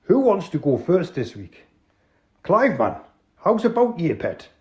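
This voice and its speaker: A man doing a high, bossy Geordie crook boss. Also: huge pitch swings, unhurried, a little mellow. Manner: chummy, swearing, calls everyone pet. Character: bossy male crook club leader. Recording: dull lo-fi home recording.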